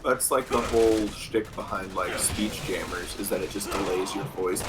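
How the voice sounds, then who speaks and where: A man's voice: fairly low pitch, fairly even intonation, normal speed, over loud music. A man playing a co-op game with a friend, chatting casually and bickering in a dry, matter-of-fact way. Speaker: co-op gaming partner. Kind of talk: casual co-op gameplay chat.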